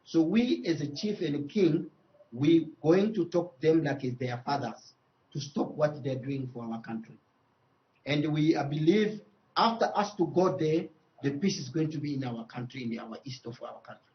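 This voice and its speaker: A man with a Congolese accent speaking as a traditional leader in a news interview, emphatic, with repeated phrases and halting phrasing. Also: on the high side, lively intonation, highs cut off.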